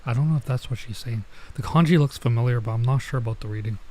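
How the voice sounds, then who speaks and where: A man's voice: mid-range voice, fast talker, mellow. A man streaming gameplay with casual commentary, thinking aloud in a hesitant, rambling way. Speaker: streamer, virtual YouTuber.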